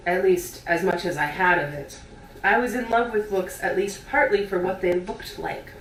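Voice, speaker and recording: A woman giving an expressive read-aloud of a literary essay. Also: on the low side. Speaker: reader. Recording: roomy, distant mic, faint noise.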